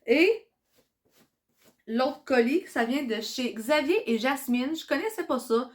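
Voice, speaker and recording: A young woman with a Quebec French accent, chatting excitedly in a casual vlog while congested from a cold. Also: high-pitched, takes it slowly. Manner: chatty and excitable, many fillers. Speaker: vlogger.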